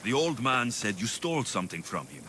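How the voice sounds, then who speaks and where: A man's voice: medium pitch, sing-song, a little fast, bright, forceful tone, a little hiss or hum. A man playing a blunt, impatient mercenary in a video game, terse and firm. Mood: exasperated. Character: blunt, impatient male Greek mercenary hero. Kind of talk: video game cutscene acting.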